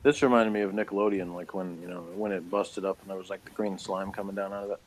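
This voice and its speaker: A man's voice: low voice, steady tone, fast talker, a little hiss or hum, poor audio. An American man chatting casually as a co-host of a review show, with a laid-back, dry, blunt manner. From United States. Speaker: podcast co-host reviewing a TV episode. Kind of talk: casual chat.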